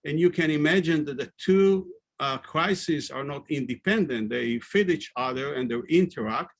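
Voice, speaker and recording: A man with an Israeli accent giving an earnest webinar presentation over a video call, pausing often with fillers. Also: fairly high pitch. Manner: earnest, hesitant with frequent fillers. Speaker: panelist presenting research.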